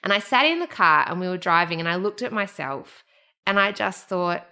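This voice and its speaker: A woman hosts a podcast and tells a personal story with candid, heartfelt emotion. Her voice is bright and forceful, sitting on the lower side. The talk is loose and conversational. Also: lively intonation, moderate pace. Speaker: podcast host. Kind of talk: casual chat.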